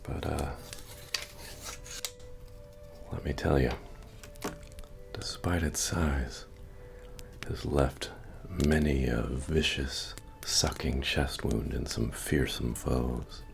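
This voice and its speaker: A man playing a friendly, humble medieval innkeeper and retired adventurer in a soft-spoken, folksy ASMR fantasy roleplay, with hesitant murmuring. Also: fairly even intonation, takes it slowly, heavily acted. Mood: wistful, modest. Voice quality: deep, gravelly, often breathy or whispered. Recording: music under the voice. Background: medieval bard music.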